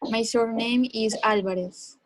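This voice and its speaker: A woman's voice: a little high, steady tone, dry recording, rough recording. A teenage girl from Colombia with a Spanish accent, answering hesitantly in a speaking exam with many fillers. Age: teens. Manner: hesitant, halting learner English with fillers. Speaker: exam candidate.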